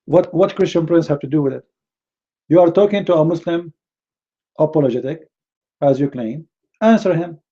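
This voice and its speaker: Arabic-accented man, indignant rebuttal, raised pitch, muffled lo-fi sound. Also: normal speed, pauses often, dark tone. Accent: Middle Eastern Arabic. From Middle East. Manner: indignant, repeats words and restarts often. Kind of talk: heated rebuttal to a clip.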